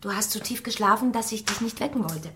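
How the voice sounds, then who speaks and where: An actress playing a cheerful young adult daughter in a radio drama, chatting in a lively, girlish, gossipy way. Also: medium pitch, fast, background noise, natural voice. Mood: excited, chatty. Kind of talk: German radio drama acting.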